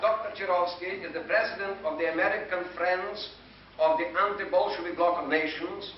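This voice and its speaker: A man whose native language is Ukrainian speaks formally as a master of ceremonies, introducing guests in a courteous, deliberate, ceremonious manner. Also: sits high, steady tone, a loud crowd. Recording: muffled noisy 1980s hall recording, distant, echoey.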